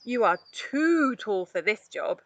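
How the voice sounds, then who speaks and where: A woman's voice: medium pitch, sing-song, takes it slowly, a bit bright, dry recording, distant mic, dull audio, full character voice. A woman voicing a brave, frank animal friend with an earnest manner in a storybook. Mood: frank, apologetic. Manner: candid, earnest.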